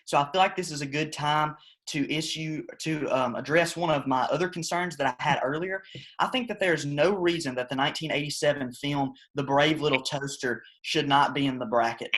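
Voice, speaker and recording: A man with a slightly high voice plays it straight as a panel analyst. He objects with deadpan earnestness, hesitating as he makes his mock-serious case.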